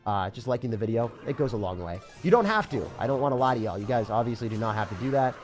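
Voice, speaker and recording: A young man from the Boston area streaming a game live, chatting with viewers, excitable and laughing often. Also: medium pitch, fast, soft background music. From Boston area, United States. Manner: excitable, laughs often, reads chat questions aloud. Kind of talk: live-stream gameplay commentary.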